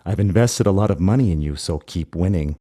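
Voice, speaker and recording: An actor playing a shady, smug sponsor in a video game, a man who makes calm, measured threats. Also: low voice. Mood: condescending. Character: shady male crime-boss sponsor, smug, calm and menacing. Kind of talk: video game character dialogue.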